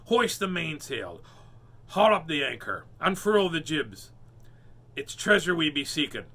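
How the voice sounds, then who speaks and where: A man voicing a gruff, smelly, boastful male pirate in a read-aloud story, bellowing old-fashioned pirate talk. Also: a little high, very animated, a little slow, bright, forceful tone, heavily acted. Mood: bossy.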